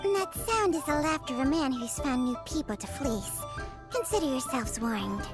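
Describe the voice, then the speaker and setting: A woman voicing a tiny, chatty fairy companion in an anime game, with a squeaky childlike voice and sassy, exclamatory delivery. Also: animated, normal speed. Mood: suspicious. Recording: loud background music.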